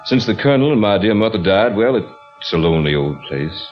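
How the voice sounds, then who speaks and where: A low-voiced, brooding plantation master, acted by a man in an old radio play. He is courtly and possessive, with an animated, theatrical delivery. The recording is dull and faintly backed by music. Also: fairly quick, lightly acted. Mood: wistful, possessive. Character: wealthy, lonely Southern plantation master, possessive old suitor. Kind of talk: old-time radio drama acting.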